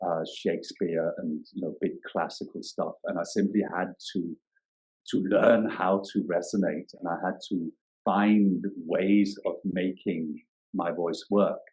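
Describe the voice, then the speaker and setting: Deep-voiced man, thoughtful. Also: steady tone, a little slow, darkish tone, rough recording. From Denmark. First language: Danish. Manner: thoughtful, searching for words, many restarts. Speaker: yoga teacher and guest. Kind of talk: reflective podcast interview.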